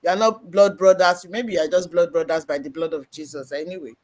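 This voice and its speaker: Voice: medium pitch, fairly even intonation, a little fast. The host of a church live-stream panel, speaking conversationally and explaining at length, with frequent "you know" fillers. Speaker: host, moderator.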